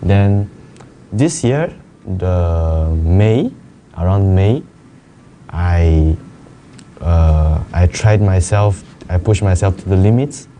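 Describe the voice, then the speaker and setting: A young man, a native Chinese speaker, answering interview questions hesitantly and softly. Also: low-pitched, expressive, slow, pauses often, a little hiss or hum. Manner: hesitant, soft-spoken, laughs shyly. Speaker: esports player guest.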